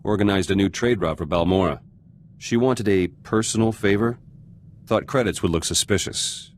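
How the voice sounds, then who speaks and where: A man performs a very deep-voiced, gruff resistance fighter from a video game. He is guarded and cynical, biting off terse, blunt phrases. A faint layer of ambient noise sits behind him. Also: moderate pace, lightly acted. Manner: clipped, terse fragments, blunt. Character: gruff, guarded revolutionary fighter turned politician, curt and cynical. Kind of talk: video game character dialogue.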